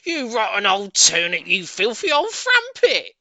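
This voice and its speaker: Guy doing a shrill screechy nasty old wife for a kids audiobook, yelling insults, way up high. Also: wildly swooping pitch, no echo, heavily acted. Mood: furious. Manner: shouting, drawn-out vicious insults. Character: furious shrill spiteful old woman, screeching threats. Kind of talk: children's audiobook character voice.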